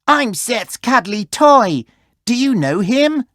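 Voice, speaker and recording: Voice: medium pitch, very animated, slow, fairly bright tone, no echo, clean studio sound, pitched above the performer's own voice. A storybook narrator voicing a plucky, friendly little male cuddly toy. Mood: proud, plucky.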